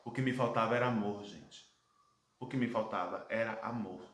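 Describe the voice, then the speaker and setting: A man in his thirties from Brazil with a Brazilian Portuguese accent, recounting his life abroad as a personal testimony, earnest and reflective, with dramatic pauses. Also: fairly low pitch, a bit bright. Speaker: vlogger telling his life story. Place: his home, indoor room. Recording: echoey room.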